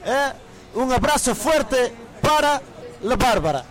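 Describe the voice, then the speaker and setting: A man acts out an odd, friendly Russian man with a strikingly high voice. He drags out his greetings in halting, broken phrases on a distant recorded radio message. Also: sing-song, a faint crowd, exaggerated voice. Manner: halting, drawn-out, broken Spanish.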